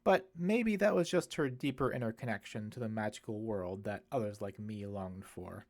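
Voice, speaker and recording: A man voicing a kindly, musing priest in an audiobook, speaking in a reflective, explanatory way with gentle wit. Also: fairly low pitch, moderate pace, close to an everyday voice. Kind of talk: audiobook character dialogue.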